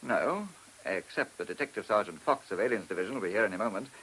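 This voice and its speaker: A man acting a brisk, matter-of-fact police officer reporting to his inspector in a radio play. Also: lowish voice, lively intonation, a bit bright, slight background noise, poor audio.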